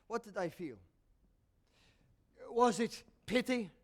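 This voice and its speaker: A man's voice: sits high, slow pace. A man on a theatre stage playing a bitter, tormented old composer, declaiming grandly in a monologue. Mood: grave, bitter. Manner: declamatory, swelling from hushed to shouted. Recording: live theatre stage, music and audience behind.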